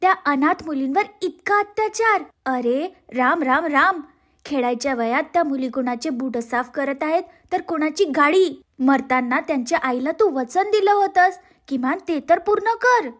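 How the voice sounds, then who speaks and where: Woman acting an indignant, high-pitched neighbour woman. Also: normal speed, a bit bright. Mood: reproachful. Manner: reproachful. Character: indignant neighbour woman reproaching a cruel aunt. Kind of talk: cartoon moral story voice acting.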